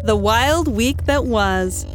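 A woman's voice: on the high side, lively intonation, takes it slowly. A woman announcer delivering short, produced radio-style show liners. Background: loud music and electronic tones.

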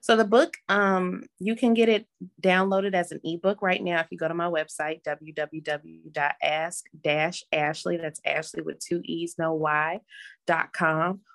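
A woman's voice: on the low side, little pitch movement, unhurried, vocal fry. A woman, an advice columnist, answering interview questions in a reflective, hesitant way with frequent fillers.

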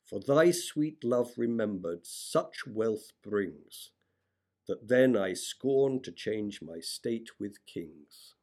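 A man reciting classic poetry as a reader, in a measured, expressive way. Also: fairly low pitch. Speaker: sonnet reader.

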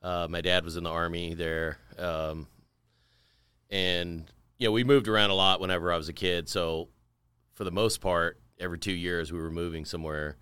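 A man's voice: low voice, steady tone, moderate pace, bright, forceful tone, clear, resonant tone, no echo. A middle-aged man from Tennessee, a podcast guest telling stories of his life in a rambling casual way with fillers and repeats. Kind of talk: casual interview storytelling.

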